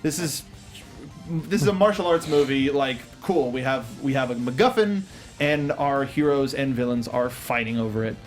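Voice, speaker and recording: A man's voice: medium pitch, expressive, normal speed, faint music behind. A man hosting a film review show, talking casually and steering the panel discussion.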